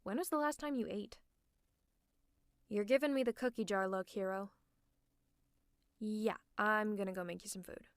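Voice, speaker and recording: A woman playing a sardonic female supervillain in an ASMR roleplay, teasing and scolding the listener in a gruffly caring way. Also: mid-range voice, moderate pace, pauses often, no room sound, clean studio sound, natural voice. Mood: grudging care. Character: sardonic female supervillain, gruffly fussing over a sick hero. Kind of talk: ASMR roleplay, one-sided dialogue to the listener. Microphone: close mic, dry.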